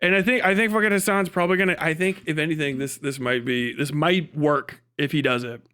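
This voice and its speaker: Male streamer, high voice, profane and opinionated, casual emphatic commentary. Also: fairly even intonation, brisk, bright, forceful tone, smooth. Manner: profane, emphatic, opinionated, repeats words for stress. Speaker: livestream host.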